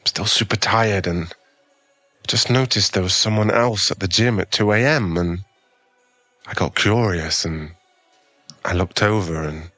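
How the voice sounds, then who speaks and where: A low-pitched, closely recorded male voice with soft music behind it. He is an actor playing a flustered, kind young gym owner in an ASMR boyfriend audio drama. His delivery is earnest and hesitant, stumbling over words as someone with a crush would. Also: measured pace, long pauses, no echo, heavily acted. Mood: flustered, embarrassed. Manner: stammering, hesitant, earnest, conversational.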